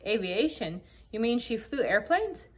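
A woman's voice: fairly high pitch, big pitch swings, a little slow, husky, full character voice. A woman voicing a curious little girl in a children's story, with an eager and polite manner.

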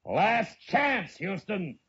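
A man acting a stern, steady U.S. Marshal in a 1950s radio western, with a terse, commanding delivery. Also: high-pitched, very slow, husky, no room sound, full character voice. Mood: grim, commanding. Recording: muffled vintage radio recording.

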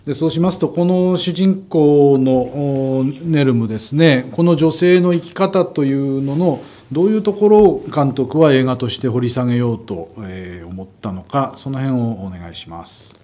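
Mellow older man moderating politely. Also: medium pitch. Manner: polite, measured questioning. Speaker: festival talk moderator. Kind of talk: formal interview questions. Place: festival stage set with sponsor backdrop.

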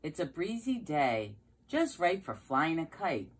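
A woman's voice: sits low, very animated, unhurried, breathy edge. A middle-aged woman reading a picture book aloud to young children like a preschool teacher, with questions and exclamations. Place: carpeted basement room at home, indoors.

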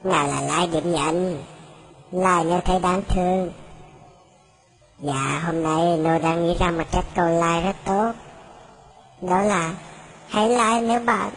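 A woman's voice: on the low side, steady tone, takes it slowly, pauses often. A woman voicing short comic-strip jokes in an acted, comedic dubbing style. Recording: loud music under the voice. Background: music.

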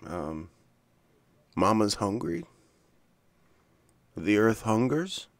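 A man's voice: fairly low pitch, sing-song, extremely slow, gravelly, dry recording. A middle-aged man giving casual, unscripted reactions as a stream host, blunt, sweary and incredulous.

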